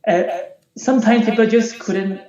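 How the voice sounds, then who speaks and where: A man with a high-pitched, youthful, unbroken voice, recounting his situation in a repetitive, conversational way as a client on an online call. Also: steady tone, normal speed. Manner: repetitive, says "you know" often. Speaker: client, accountant. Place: remote video call. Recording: echoey, muffled online call with background chatter.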